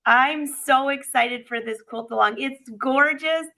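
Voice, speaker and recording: Bubbly bright-voiced woman, a bit raspy. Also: high-pitched, a little slow. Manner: bubbly, upbeat. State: a little raspy. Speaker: host. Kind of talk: live-stream chat.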